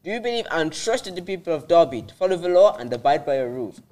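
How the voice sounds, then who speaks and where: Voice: mid-range voice, very animated, quick pace, darkish tone, lightly acted. A young adult playing a probing interviewer in an amateur radio drama. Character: probing interviewer hosting a climate debate.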